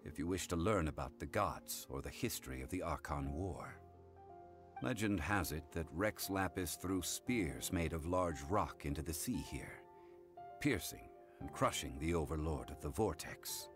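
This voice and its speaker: An actor playing a composed, scholarly gentleman consultant in an anime game, speaking formally and with measured gravity. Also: rumbling low, lively intonation, music in the background. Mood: calm, explanatory.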